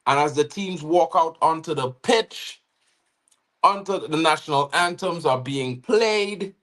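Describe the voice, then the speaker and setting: A man's voice: fairly high pitch, unhurried. Chatty Trinidadian man streaming live. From Trinidad and Tobago. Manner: chatty, shouting out chat names, frequent "you know what I mean". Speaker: watchalong co-host. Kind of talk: casual live-stream chat.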